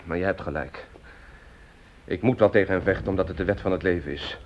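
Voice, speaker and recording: An actor playing a distraught, despairing young French soldier in a Dutch radio drama, pleading and fatalistic. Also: male voice, low-pitched, fairly quick, lightly acted. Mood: grim resignation. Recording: dull, hissy old radio play recording.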